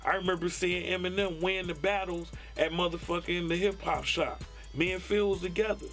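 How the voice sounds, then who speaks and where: High-voiced man, talking candidly. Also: fairly even intonation, moderate pace, a bit bright. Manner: emphatic, profane, tags phrases with "you know what I'm saying". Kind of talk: candid documentary interview. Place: small foam-padded recording studio. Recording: faint music behind the voice.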